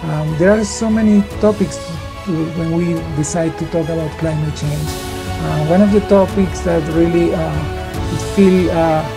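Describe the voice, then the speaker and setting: A middle-aged man from Peru with a Peruvian Spanish accent, hosting an interview over a video call, speaking haltingly with many filler sounds. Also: a little high, vocal fry, over music. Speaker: interview host. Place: home room with shelves. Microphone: studio mic on video call.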